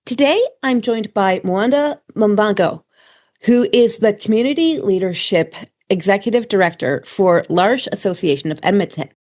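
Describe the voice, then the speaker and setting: A woman's voice: medium pitch, lively intonation, moderate pace, darkish tone. A woman radio host giving a scripted introduction and asking her guest questions. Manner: reads from a prepared script.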